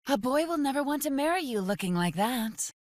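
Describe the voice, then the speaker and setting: A woman's voice: high voice, expressive, fairly quick, dry recording, studio quality, voice pushed up. A woman voicing a critical, nagging, disapproving mother in a horror audiobook.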